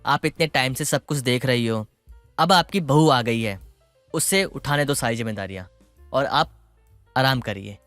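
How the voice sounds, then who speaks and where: A man playing a young husband and son in a dramatized moral story, calm, reasonable and matter-of-fact. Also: medium pitch, normal speed, pauses often, no echo, soft background music, natural voice. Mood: reassuring, firm.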